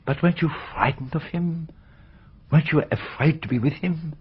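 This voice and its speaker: An actress playing a concerned questioner in a radio drama, gently but intently drawing out a young witness. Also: female voice, low voice, normal speed, husky. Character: probing, concerned adult questioner of a child. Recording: dull, muffled radio drama recording.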